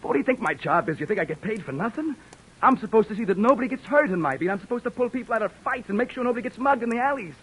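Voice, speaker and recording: A man playing a gruff but decent beat cop who talks in streetwise slang and narrates his story, in 1940s radio acting style. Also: high voice, animated, very fast, a bit bright, heavily acted, rough recording. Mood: heated. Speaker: police officer and narrator.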